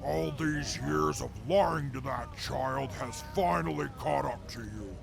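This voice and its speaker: A man voices a haughty, mocking male sidekick in a wasteland audio drama. He talks slowly in a heavy gravelly creak, formal and wordy, with music underneath. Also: medium pitch. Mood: smug, gloating. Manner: pompous, formal, drawn-out, verbose.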